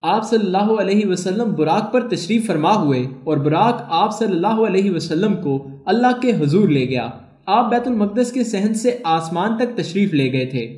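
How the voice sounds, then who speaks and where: A man reading a scripted documentary narration as a channel's narrator, in a formal, measured, evenly read manner. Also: sits high, lively intonation, a little mellow. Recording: echoey room.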